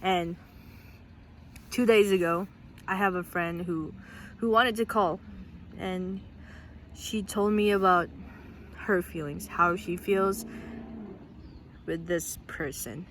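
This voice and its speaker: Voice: medium pitch, slow, pauses often, a bit bright, a little hiss or hum. A vlogger from the Philippines, a Tagalog speaker, talking earnestly in a casual heartfelt way, often restarting and repeating words. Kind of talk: casual heartfelt vlog talk.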